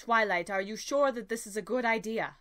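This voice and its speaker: Woman as an earnest, high-voiced pony princess. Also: a little fast, fairly bright tone, lightly acted. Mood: concerned. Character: concerned regal female alicorn princess pony.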